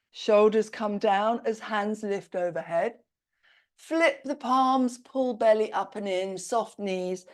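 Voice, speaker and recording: Woman, yoga teacher, very slow, calm cueing, slightly croaky, mid pitch. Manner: calm, step-by-step cueing while doing the poses herself. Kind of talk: slow guided yoga instruction.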